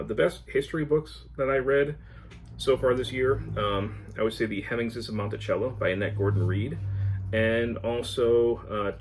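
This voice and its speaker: A man's voice: lowish voice, slight background noise. A man talking casually as a book reviewer, reflective and a little hesitant as he picks his words. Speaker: book reviewer video host. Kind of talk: casual book-tag chat to camera.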